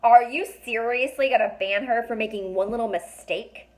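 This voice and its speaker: A woman's voice: fairly high pitch, normal speed, natural voice. A woman voicing an outspoken young boy from a storybook, blunt and emphatic. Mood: indignant.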